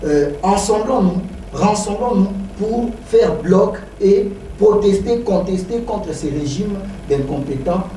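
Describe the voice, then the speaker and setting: A man with a Congolese accent making a political debate speech, halting and emphatic, repeating his key phrases. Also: high voice, lively intonation, dark tone. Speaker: political party spokesman, debate guest. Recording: roomy, distant mic, clearly noisy.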